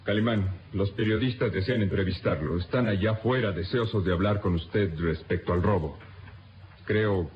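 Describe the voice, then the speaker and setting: An actor playing a respectful, concerned police captain in an old-time radio serial, with formal, deferential delivery. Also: male voice, lowish voice, moderate pace, natural voice. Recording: muffled lo-fi radio recording with noise.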